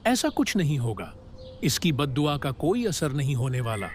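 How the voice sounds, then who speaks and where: A man's voice: on the high side, very animated, moderate pace, a little hiss or hum. A man voicing a callous, arrogant philandering king, the villain of a melodramatic cartoon. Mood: cold, dismissive.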